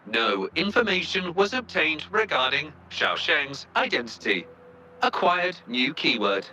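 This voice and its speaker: A dutiful game robot, with a very bright, slightly high voice. It makes clipped, formal announcements that swing in pitch, a little proud of itself, over music. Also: male voice, big pitch swings, a little slow, slight background noise, full character voice. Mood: formal, matter-of-fact. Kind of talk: video game voice acting.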